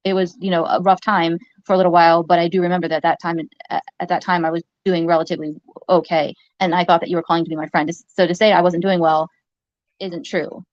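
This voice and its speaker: Soft-voiced woman, quick halting ramble, lowish, muffled clip. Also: little pitch movement, dry recording. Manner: hesitant, many fillers and restarts. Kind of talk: rambling livestream talk.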